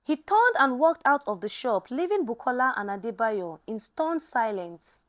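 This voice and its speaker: Woman reading out a dramatic story, high voice, really animated intonation. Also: normal speed. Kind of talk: scripted dramatic story narration.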